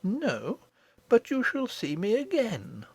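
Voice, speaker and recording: Woman as a gentle, lilting old grandmother queen. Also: fairly high pitch, wildly swooping pitch, breathy edge, dry recording, voice pushed up, exaggerated voice. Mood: calm, mysterious. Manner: gentle, composed, gracious, unhurried. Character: kindly, dignified old great-great-grandmother queen. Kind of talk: storybook audiobook character voice.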